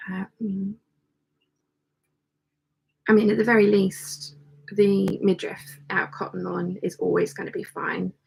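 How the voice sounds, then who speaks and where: A woman with a croaky voice, chatting casually and ramblingly as the host of a live hangout. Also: mid-range voice, steady tone, takes it slowly, pauses often, darkish tone, poor audio. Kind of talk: casual livestream chat, reading out viewer comments.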